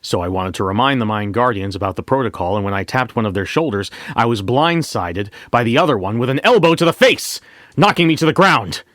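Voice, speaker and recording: A man narrating a scripted first-person story as a bank manager, in a measured, reflective way with deliberate pauses. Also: medium pitch, expressive, a bit bright, no echo, studio quality. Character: bank manager recounting a memory heist.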